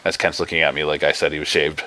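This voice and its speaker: Bright-voiced man in casual wrestling chat. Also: a bass voice, fast talker. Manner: rambling, animated, restarts often. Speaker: podcast co-host.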